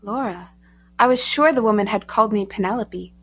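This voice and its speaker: An actress playing a wary, proper young woman in a period audio drama, with refined, measured, old-fashioned speech. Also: medium pitch, fairly bright tone, breathy edge. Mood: hushed, uneasy narration. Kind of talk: first-person period audio drama narration and dialogue.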